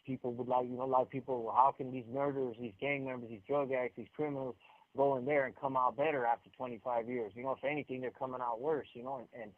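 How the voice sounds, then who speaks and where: A man's voice: medium pitch, fast, no echo. A man calling in over a narrow phone line, talking casually and earnestly, often repeating and restarting phrases for emphasis. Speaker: incarcerated guest caller. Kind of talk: casual, earnest explanatory talk. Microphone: telephone handset.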